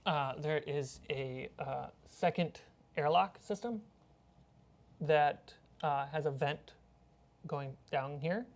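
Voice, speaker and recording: A woman's voice: low-pitched, slow pace, pauses often. A woman who hosts and runs a tabletop game, talking casually after the session. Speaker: game master and host. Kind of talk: casual post-game discussion.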